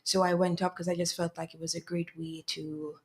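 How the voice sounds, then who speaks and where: A woman in her twenties, a pageant contestant answering in a relaxed, reflective way in a radio studio interview. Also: fairly low pitch, a little fast. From Dominica. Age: 20s. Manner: relaxed, reflective, uses "I just feel like".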